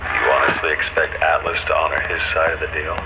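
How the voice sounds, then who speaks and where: A man's voice: extremely low pitch, little pitch movement, a little fast, loud music behind the voice, poor audio. A male actor playing a hard-bitten, skeptical companion in a video game, with an American accent and a dry, questioning manner. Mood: doubtful, probing.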